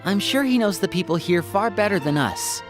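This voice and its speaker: A woman's voice: mid-range voice, expressive, normal speed, loud music behind the voice, natural voice. A woman voicing a thoughtful, cautious adventurer companion in a video game. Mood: pensive, cautious.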